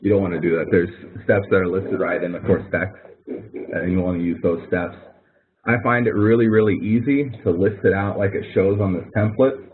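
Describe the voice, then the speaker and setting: Low-voiced male instructor on a phone-like line. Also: steady tone, normal speed, slight background noise. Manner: conversational, coaching, repeats phrases. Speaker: college course instructor leading a webinar. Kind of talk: informal instructional webinar walkthrough. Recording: thin phone-like webinar audio.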